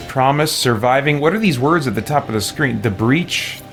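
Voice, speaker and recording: A man's voice: medium pitch, animated, normal speed, a bit bright. A man giving casual gameplay commentary as a streamer. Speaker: gaming streamer. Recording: game music behind. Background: video game audio.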